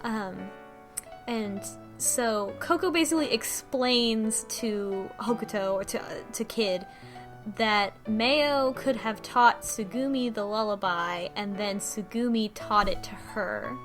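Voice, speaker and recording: A woman's voice: medium pitch, takes it slowly, a bit bright, soft background music. A woman in casual podcast conversation, recapping a story as a panelist and acting out the lines as she goes.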